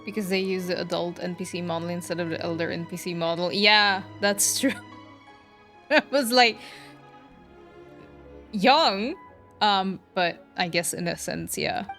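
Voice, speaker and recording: A woman's voice: lowish voice, a little slow, long pauses, a bit bright, faint music behind. A woman game streamer chatting casually with viewers and reacting aloud as she plays. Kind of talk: casual live-stream commentary.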